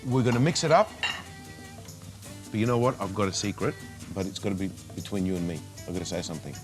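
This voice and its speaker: A man's voice: deep voice, quick pace, over music. A man joining as guest cook on a cooking show, playful and joking as he cooks. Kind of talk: casual cooking banter.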